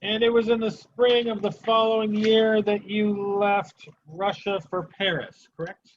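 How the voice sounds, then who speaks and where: Man playing a high-voiced, stern Soviet prosecutor. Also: flat delivery, unhurried. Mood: probing, disapproving. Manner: formal, clipped interrogation. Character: stern, sardonic male Soviet tribunal prosecutor. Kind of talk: amateur dramatic reading. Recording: dull, muffled lo-fi recording.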